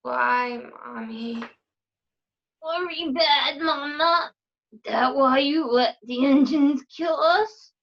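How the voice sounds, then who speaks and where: A woman's voice: high voice, slow pace, creaky, lo-fi sound, full character voice. A woman playing a plaintive, accusing dead child in a radio drama. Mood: plaintive, wounded. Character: accusing, menacing dead child haunting its mother.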